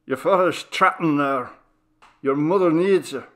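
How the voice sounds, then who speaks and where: A man's voice: a little high, a little slow, fairly bright tone, voice pushed up. A man voicing a middle-aged family doctor in a story reading, speaking with urgency in a raised voice. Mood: urgent.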